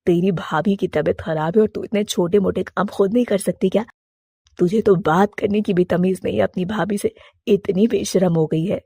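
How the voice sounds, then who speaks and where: A woman's voice: medium pitch, fairly even intonation, normal speed, warm tone, slightly rough, no room sound, studio quality. A woman voicing a stern mother pigeon. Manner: firm, scolding, motherly. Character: stern but fair older female pigeon, mother-in-law.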